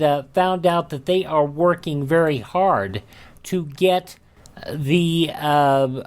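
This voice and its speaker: A man hosting a radio program, talking casually and haltingly as he introduces old shows. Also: a little high. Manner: halting, unscripted, pausing. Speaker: radio host.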